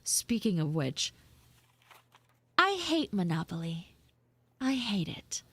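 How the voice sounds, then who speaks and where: A woman voicing a flustered, grudging tsundere frog girl in a live audio roleplay, speaking casually with halting stammers. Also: mid-range voice, very animated, slightly rough, no echo, exaggerated voice. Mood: grumbling. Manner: halting, stammering, drawn-out delivery.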